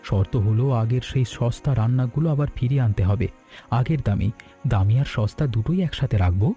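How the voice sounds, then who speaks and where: A man's voice: medium pitch, brisk, warm tone, soft background music, natural voice. A man playing an earnest, polite village hotel owner in a cartoon moral story.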